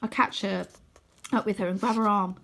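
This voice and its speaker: A woman reading a children's story aloud as expressive scripted narration. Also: medium pitch, moderate pace, creaky. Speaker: storybook reader.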